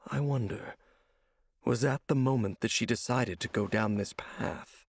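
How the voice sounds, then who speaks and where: A man playing a grave, secretive, world-weary wanderer in a video game, with a gravelly, husky voice and solemn, cryptic manner. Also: mid-range voice, unhurried, no room sound, clean studio sound. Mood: pensive, grave.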